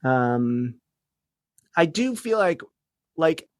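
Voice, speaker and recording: A man's voice: on the high side, sing-song, very slow, no echo, studio quality. A man talking informally as a podcast guest in a casual catch-up chat, phrasing things a little tentatively.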